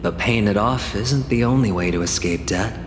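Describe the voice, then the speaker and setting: An actor playing a wry, laid-back young man deep in debt in a video game. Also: moderate pace, lightly acted. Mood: calm. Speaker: game character. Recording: echoey room with long reverb, noise.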